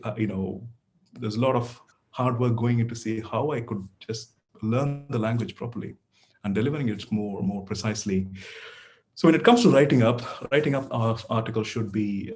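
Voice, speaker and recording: A man's voice: on the low side, a little mellow. A middle-aged man whose first language is Tamil, a neurosurgeon giving an online lecture to medical students in a conversational way, with many fillers and restarts.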